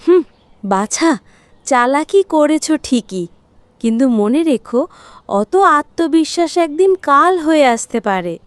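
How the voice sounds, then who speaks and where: A woman voicing a wise old parrot who gently admonishes in a children's cartoon story. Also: high-pitched, animated, a little slow, no echo. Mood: cautioning. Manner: admonishing, grandparently.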